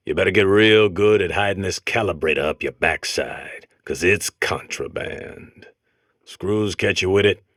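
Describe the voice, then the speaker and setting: A man's voice: a bass voice, fairly bright tone, no room sound, clean studio sound, exaggerated voice. An American man voicing a comic game robot that talks like a grizzled old convict, lecturing in folksy, tough prison slang. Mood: tough, warning. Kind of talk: comic game character monologue.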